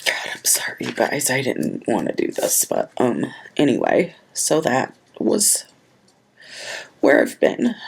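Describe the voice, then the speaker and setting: A woman vlogging about her planner, speaking tearfully and haltingly, repeating words, with a cold and sniffling. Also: rumbling low. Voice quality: husky, gravelly. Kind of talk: tearful personal storytelling to camera.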